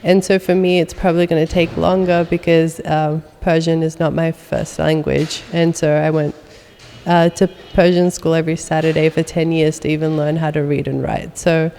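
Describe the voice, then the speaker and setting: A woman's voice: low voice, level tone, moderate pace, vocal fry, warm tone, long reverb tail, slight background noise. A young adult woman guest chatting in an interview, full of ums and uhs. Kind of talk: casual interview conversation.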